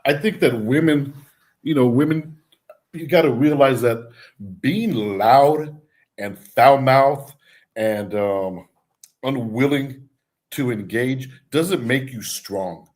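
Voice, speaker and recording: A man with a loud voice speaking forcefully in a casual panel chat. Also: mid-range voice, slow.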